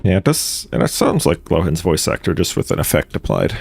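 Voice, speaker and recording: A man's voice: sits low, sing-song, fairly quick, vocal fry, a little mellow. A young adult man streaming a game, casually musing in offhand commentary. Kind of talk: casual live commentary over gameplay.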